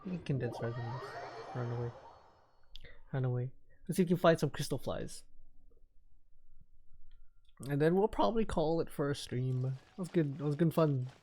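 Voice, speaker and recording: A man's voice: on the high side, moderate pace, pauses often, dark tone, slight background noise. A man livestreaming a game with casual commentary, rambling and repeating his phrases. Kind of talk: casual live gameplay commentary.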